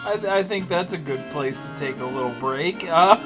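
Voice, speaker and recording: Higher-pitched casual man over music. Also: normal speed. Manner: hesitant, informal, sweary. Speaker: podcast co-host. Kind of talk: casual joking commentary.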